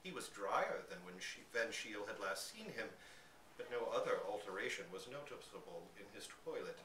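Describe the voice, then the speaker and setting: A man giving an expressive live dramatic reading of a literary short story. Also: fairly low pitch, normal speed. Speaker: story reader. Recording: echoey room, distant mic, background noise.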